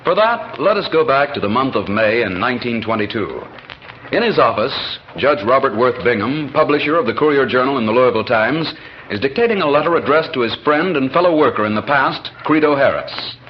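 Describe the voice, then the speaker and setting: An American man narrating a scripted 1940s radio program in formal, measured oratory. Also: mid-range voice, expressive, slight background noise. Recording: muffled 1940s radio broadcast with music. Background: music.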